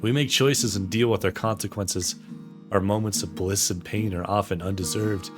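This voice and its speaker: A resigned, philosophical mother in a fantasy visual novel, read by a man. The voice is low and measured, gentle and reflective, with soft guitar music behind it. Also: fairly even intonation, close to an everyday voice.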